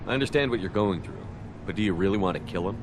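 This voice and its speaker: An actor playing a principled military commander in a video game, firm and earnest. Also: male voice, deep voice, animated, fast talker, noisy background. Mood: concerned. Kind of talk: dramatic video game acting.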